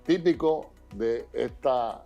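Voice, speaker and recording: A man co-hosting a health talk show, introducing topics in a steady, listing way. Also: mid-range voice, slow, vocal fry, highs cut off, soft background music. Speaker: co-host, physician.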